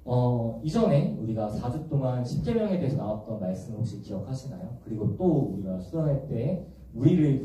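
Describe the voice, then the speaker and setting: A young man preaching to a church youth group in a gentle, explanatory way, often asking the congregation questions. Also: medium pitch. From Busan, South Korea. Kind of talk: sermon. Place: large echoey church hall. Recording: echoey church hall from far off.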